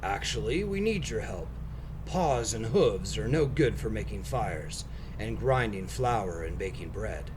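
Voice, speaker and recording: A great storybook wolf, acted out by a man reading aloud, wise and kindly. The voice sits low and speaks plainly with calm authority, over noticeable background noise. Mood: calm, reassuring. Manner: measured, plain-spoken, gently authoritative. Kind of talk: children's storybook read-aloud.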